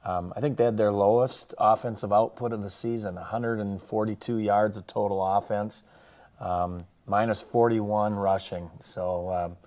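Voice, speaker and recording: A man who coaches football, casually recapping a game and its highlights in colloquial coaching slang. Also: steady tone, moderate pace, a little mellow.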